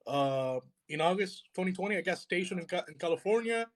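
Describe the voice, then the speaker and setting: A young man from Puerto Rico talking casually on a live stream, laid-back and halting with lots of fillers. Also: high-pitched, animated. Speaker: podcast co-host.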